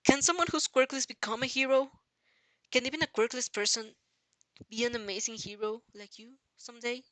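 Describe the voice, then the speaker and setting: A woman's voice: a little high, steady tone, fairly quick, fairly bright tone, no room sound, pitched above the performer's own voice, close to an everyday voice. A woman voicing an earnest, anxious teenage boy who idolizes heroes, timid and pleading in a fan-fiction reading. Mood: pleading, nervous.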